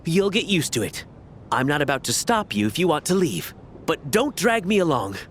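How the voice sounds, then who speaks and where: Brief: a sardonic, blunt man, lively pitch, faint hiss. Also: moderate pace.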